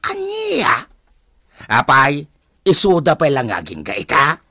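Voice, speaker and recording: A man's voice: a little high, wildly swooping pitch, takes it slowly, sharp tone, breathy edge, dry recording, clean studio sound, exaggerated voice. A man playing a nosy, exclamatory old father in a radio drama, with an Ilocano accent. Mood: astonished.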